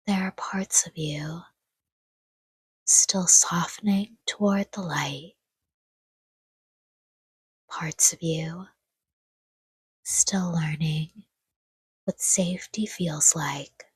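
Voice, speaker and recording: A woman speaks in a very breathy, whispery voice, low in pitch and extremely unhurried. She guides a sleep meditation gently and soothingly, leaving long pauses between short, hushed phrases. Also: steady tone, no echo. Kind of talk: guided sleep meditation, scripted, soft-spoken.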